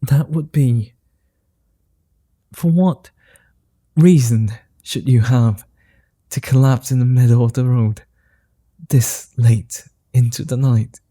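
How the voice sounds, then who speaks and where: A courteous vampire gentleman, as a man plays him in an audio roleplay, speaks at an unhurried crawl with a deep, mellow warmth. His phrasing is formal and old-fashioned, broken by soft chuckles. The sound is close and dry, with no room around it. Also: no room sound. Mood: amused. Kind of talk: ASMR roleplay.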